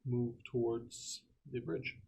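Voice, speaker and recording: A man's voice: medium pitch, fairly even intonation, slow pace, gravelly, warm tone, breathy edge. Casual man at a gaming table. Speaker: tabletop game player. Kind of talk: in-character planning, casual. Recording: slightly noisy room with clicks.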